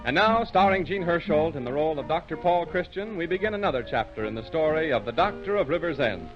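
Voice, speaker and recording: A man's voice: a little high, animated, quick pace, over music. A man announcing in a formal, polished 1930s radio advertising style, on a muffled old recording.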